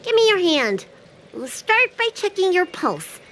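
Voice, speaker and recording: A woman's voice: very high voice, big pitch swings, measured pace, sharp tone, a little hiss or hum, heavily acted. A woman voicing a perky, self-important young dragon-girl healer in a video game cutscene, bubbly and fussy in manner. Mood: curious, alarmed.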